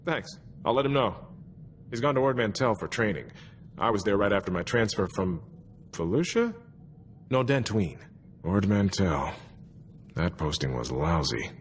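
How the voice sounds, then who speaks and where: An actor playing an easygoing, chatty and wry young army soldier in a video game, speaking in a musing, conversational way. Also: male voice, on the low side, very animated, normal speed, long pauses, a little hiss or hum. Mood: chatty, upbeat. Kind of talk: video game cutscene dialogue.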